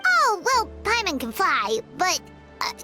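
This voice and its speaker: Squeaky, very high fairy girl. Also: wildly swooping pitch, measured pace, full character voice. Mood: uneasy. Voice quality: squeaky, childlike. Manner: exclamatory, refers to self in third person. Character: tiny floating fairy companion girl, excitable, easily alarmed. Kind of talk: anime video game voice acting. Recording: prominent game music behind.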